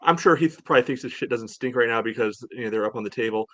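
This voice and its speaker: A man's voice: mid-range voice, rapid-fire, a bit bright, dry recording. A man co-hosting a casual soccer podcast, blunt and sweary, often padding his sentences with "you know". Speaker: sports podcast co-host. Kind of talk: casual fan chat about soccer.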